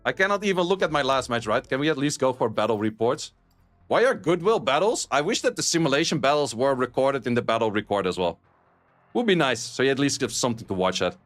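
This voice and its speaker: A man's voice: medium pitch, expressive, fast, fairly bright tone, soft background music. A man with a Dutch accent chatting casually with his stream viewers, blunt and sweary, laughing often. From Netherlands. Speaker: streamer and esports caster. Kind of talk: casual live-stream chat reading viewer messages.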